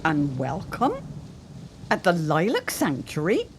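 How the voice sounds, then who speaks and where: A woman's voice: lowish voice, huge pitch swings, slow, slight background noise, exaggerated voice. An actress playing an arch, genteel, meddling middle-aged mother in a period audio drama. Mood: arch, mock innocent. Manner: theatrical, arch. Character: meddling matchmaking mother, archly genteel, feigning innocence.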